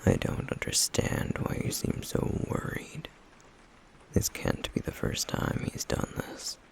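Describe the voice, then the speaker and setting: A man voicing a stoic, guarded flame demon in an intimate ASMR roleplay, with a breathy, gravelly murmur and a terse, deadpan, caring manner. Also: slow pace, natural voice. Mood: gruffly caring.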